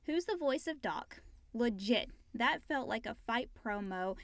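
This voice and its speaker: A woman's voice: on the high side, expressive, unhurried, a bit bright, no room sound. A woman co-hosting a casual question-and-answer show, reading listener questions aloud.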